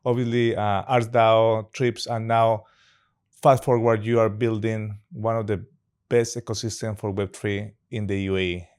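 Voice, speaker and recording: A man's voice: lowish voice, flat delivery, measured pace, darkish tone, clear, resonant tone. A man hosting a podcast interview, upbeat and chatty, with loosely phrased English that drops small words. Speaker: podcast host. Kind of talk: casual interview chat.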